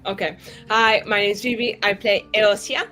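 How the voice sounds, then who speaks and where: Very bright-voiced chatty woman over music. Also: medium pitch, fairly even intonation, moderate pace. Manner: chatty, slangy, filler-heavy. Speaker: tabletop roleplaying player. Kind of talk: casual, joking character introduction.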